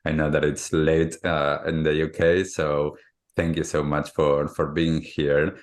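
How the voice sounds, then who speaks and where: A man's voice: a bass voice, level tone, measured pace. A man from Argentina, hosting a podcast interview and often repeating words as he searches for phrasing. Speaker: podcast host.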